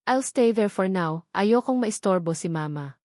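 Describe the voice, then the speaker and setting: A woman's voice: medium pitch, expressive, a little slow, darkish tone, dry recording, studio quality, natural voice. A woman voicing a cold, commanding wealthy young heir who speaks curtly and sarcastically and mixes English into Tagalog, in a storybook audio drama.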